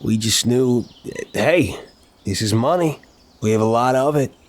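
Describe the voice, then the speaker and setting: A man playing a suave, playful young mafia boss in an intimate audio roleplay, teasing and smooth-talking, leaning on words for emphasis. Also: medium pitch, a little slow, close to an everyday voice. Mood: amused, casual.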